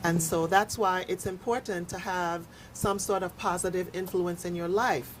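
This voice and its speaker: A woman speaking in a measured, earnest and articulate way as a panelist explaining her view. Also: on the low side, fairly even intonation, low-quality recording. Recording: clear background noise.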